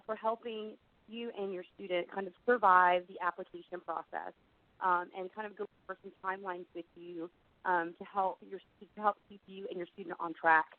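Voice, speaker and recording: A woman's voice: medium pitch, dry recording. A middle-aged woman, slightly froggy from being under the weather, giving a conversational, explanatory webinar presentation as an admissions director. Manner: conversational, explanatory, frequent "you know". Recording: phone-like narrow band, dry.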